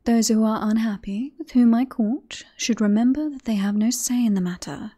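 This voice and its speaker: A woman playing a teasing, self-assured queen in a romantic fantasy ASMR roleplay, with breathy, formal courtly phrasing. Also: fairly high pitch, normal speed, warm tone, close to an everyday voice. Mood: tender, confident.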